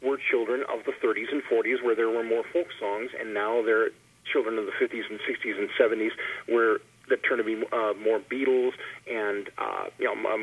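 A man speaking as a panelist in a casual roundtable, telling anecdotes in a rambling way and repeating words as he goes, over a phone line. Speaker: panelist, long-time filk musician. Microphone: telephone call. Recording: tinny phone line, husky low fidelity.

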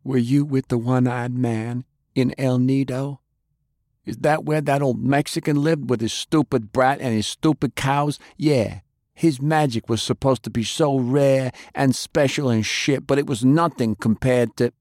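A man's voice: mid-range voice, fairly even intonation, measured pace, darkish tone, dry recording, studio quality, voice pushed up, lightly acted. A man voicing a fanatical cult follower in an audiobook, preaching defiantly and taunting like a zealous thug. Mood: sneering contempt. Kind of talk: audiobook character acting.

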